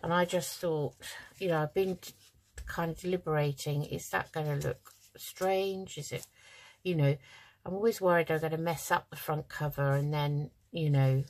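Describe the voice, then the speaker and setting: A woman with a low, slightly croaky voice talks through a craft project. She is chatty and informal, thinking aloud as she works at her table. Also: steady tone, normal speed, a little mellow, poor audio. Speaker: craft channel host. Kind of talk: casual craft tutorial, talking while working.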